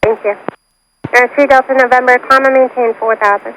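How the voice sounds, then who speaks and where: Fast-talking woman air traffic controller on radio. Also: a little high, steady tone, fairly bright tone. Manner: clipped procedural phrasing. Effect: aviation radio. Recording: noisy aircraft radio transmission. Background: radio static and aircraft cabin noise.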